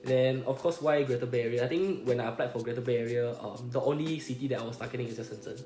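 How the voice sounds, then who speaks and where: A man's voice: medium pitch, racing, dark tone, echoey room, faint music behind. A young man with a Malaysian English accent, a Chinese speaker from home, explaining things in casual chat as a podcast co-host, ending phrases with "lah" and "right?".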